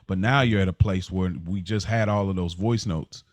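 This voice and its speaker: A man's voice: low-pitched, fairly even intonation, moderate pace, no room sound. A man speaking as a podcast co-host in casual, reflective conversation, earnest and conversational in his delivery.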